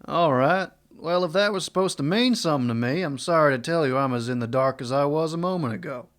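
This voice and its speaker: A man's voice: fairly high pitch, brisk, lightly acted. Man acting a wry, wary western marshal. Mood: wry, skeptical. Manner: wry, plain-spoken, wary. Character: tall, well-mannered, dry-witted small-town US Marshal. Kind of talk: western radio drama acting.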